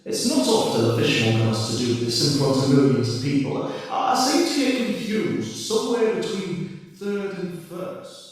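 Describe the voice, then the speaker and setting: A man's voice: sits high, big pitch swings, moderate pace, soft background music. A man playing a showy beauty pageant host in an amateur radio play. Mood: chatty, confused. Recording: echoey hall, distant from mic.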